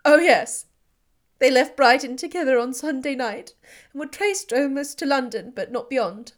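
A woman's voice: sits high, animated, rapid-fire, a bit bright. A woman reading the part of a distraught young woman in an audiobook, agitated, pleading and close to tears. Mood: agitated, despairing.

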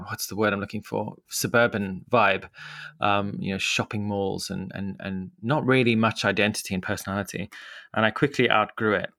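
Low-voiced Zimbabwean man, reflective. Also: gravelly, sharp tone, no room sound, clean studio sound. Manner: reflective, rambling with many fillers and restarts. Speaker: podcast guest, media co-founder. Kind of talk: casual conversational interview.

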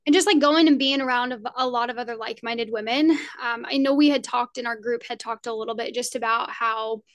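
Woman, slight Southern US accent, fairly high voice, friendly podcast host, rambling. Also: fairly quick, muffled recording. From Indiana, USA. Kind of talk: casual podcast interview.

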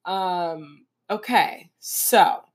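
A woman's voice: on the low side, extremely slow. A woman co-hosting a podcast in casual, joking chat, full of fillers. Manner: animated, joking, frequent "like". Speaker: podcast co-host.

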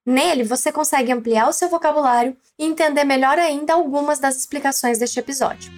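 A woman with a Brazilian Portuguese accent, teaching a language lesson slowly and clearly, prompting the listener to repeat. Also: sits high, soft background music. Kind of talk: scripted lesson narration.

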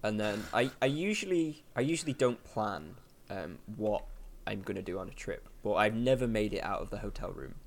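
A man's voice: fairly low pitch, expressive, normal speed, gravelly, few pauses. A man hosting a casual podcast chat, rambling with lots of fillers and restarts. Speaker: podcast host.

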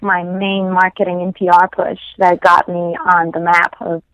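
A woman, not a native English speaker, telling her story as a podcast guest in a lively, animated way, acting out the conversations she recounts. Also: on the low side, a little slow, no echo, poor audio. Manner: animated storytelling, acts out quoted exchanges. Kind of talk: casual interview conversation.

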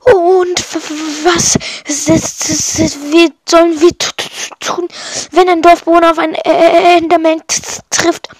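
A woman's voice: extremely high pitch, level tone, a little slow, hardly any pauses, pitched above the performer's own voice, poor audio. Woman doing a timid, stammering little boy. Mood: frightened. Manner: heavy nervous stammer.

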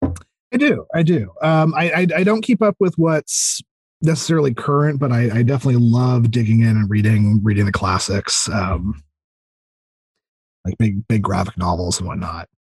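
A man's voice: mid-range voice, normal speed, a little mellow, slightly rough, dry recording. A man chatting casually as a podcast guest, rambling and repeating words as he thinks aloud. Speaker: podcast guest, audio drama writer. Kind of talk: casual chat.

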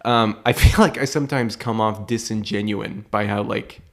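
A man's voice: on the low side, moderate pace. A young man co-hosting a casual movie podcast, chatty and laughing often. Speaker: podcast co-host. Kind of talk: casual chat.

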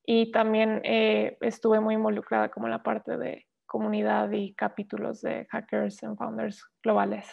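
A young woman, an investment analyst, speaking in a measured way with frequent filler pauses in a casual interview. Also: on the high side, completely flat delivery, creaky. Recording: tinny phone-like call audio.

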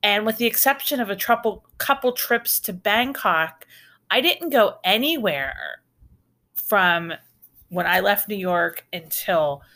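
A woman's voice: medium pitch, takes it slowly, pushed and bright. A middle-aged American woman hosting a podcast, telling travel stories in a chatty, rambling way with restarts and asides. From United States. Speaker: podcast host. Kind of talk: casual storytelling podcast.

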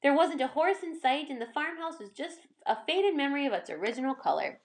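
A woman's voice: high-pitched, expressive, brisk. Woman reading a storybook aloud. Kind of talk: expressive scripted narration.

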